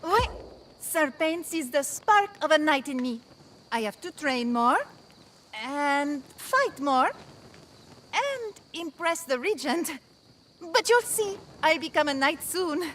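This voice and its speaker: A woman playing an eager, boastful young would-be knight in a video game, excitable and earnest. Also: high-pitched, takes it slowly, slight background noise, heavily acted, poor audio. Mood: proud, determined. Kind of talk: video game character dialogue.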